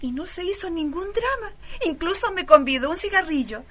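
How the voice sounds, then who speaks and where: An actress playing a chatty, nostalgic youngest daughter, now grown, with a Chilean accent in a radio drama. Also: female voice, very high-pitched, expressive, normal speed, a bit bright. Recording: clear background noise.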